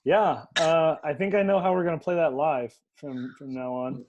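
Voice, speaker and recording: A man hosting a casual video-call chat about a music challenge, laid-back, filling pauses with ums and laughing often. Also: on the high side, muffled recording, slight background noise. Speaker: host of a music remix challenge.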